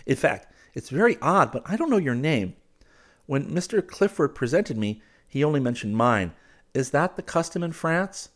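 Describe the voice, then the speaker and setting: A man voicing an eager, polite, naive young gentleman abroad, earnest and courteous, in an audiobook story. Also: a little high, big pitch swings, normal speed, pitched above the performer's own voice. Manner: courteous, earnest, stammering when flustered.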